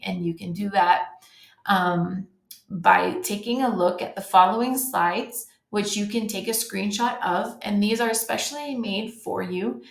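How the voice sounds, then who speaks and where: A woman's voice: medium pitch, vocal fry. A woman from the United States giving a friendly, informal webinar presentation to travel agents, with frequent ums and restarts. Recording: roomy echo.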